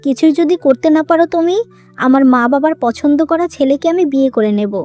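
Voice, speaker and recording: Cartoon role: modern young girlfriend played by a woman, high-pitched, blunt and assertive, light music bed. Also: expressive, brisk, darkish tone. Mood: demanding. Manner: assertive, questioning. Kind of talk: dramatic cartoon dialogue.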